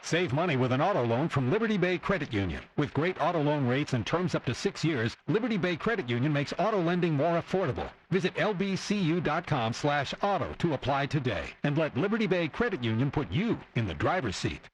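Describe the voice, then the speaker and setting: Lively high-voiced male ad announcer over music. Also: a little fast, hardly any pauses, a little hiss or hum, poor audio. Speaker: commercial announcer. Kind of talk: scripted radio advertisement read. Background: music bed.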